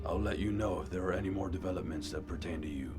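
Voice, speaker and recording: A man playing a brooding, guarded vigilante in an audio drama, terse and controlled with a gravelly voice. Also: fast, music in the background, close to an everyday voice. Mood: curt, guarded. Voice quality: low, gravelly.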